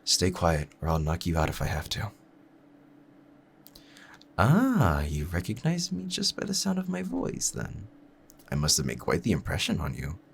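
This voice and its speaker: A man acts out a smitten, dangerous male assassin in a romantic audio roleplay. The voice is low and creaky, measured and formal, confiding in the listener with calm pride that is both menacing and tender. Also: slight background noise, heavily acted. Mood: quiet threat.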